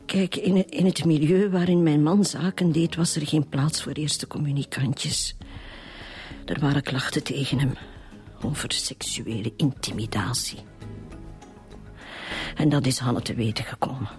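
Casting: a troubled middle-aged Flemish mother, low-pitched, halting and repeating herself; radio drama with music underneath. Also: a little mellow. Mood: upset, defensive. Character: troubled wife and mother giving a halting statement.

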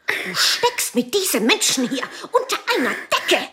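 A furious, indignant mother in a period radio play. Her voice is high and sharp, swooping shrilly. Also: very animated, unhurried, slightly rough, exaggerated voice. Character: small, frail-looking but false, furious mother. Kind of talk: radio drama acting.

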